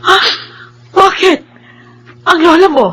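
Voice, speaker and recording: An actress playing an emotional, caring middle-aged mother in a Filipino radio drama, expressive and plaintive, with a Filipino accent. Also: very high-pitched, slow pace, full character voice, rough recording. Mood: worried, tearful.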